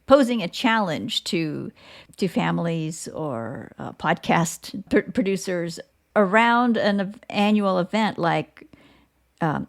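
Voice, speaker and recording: A woman hosting a podcast, speaking in a conversational interview style with halting, reflective phrasing. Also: on the low side, vocal fry. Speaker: podcast host.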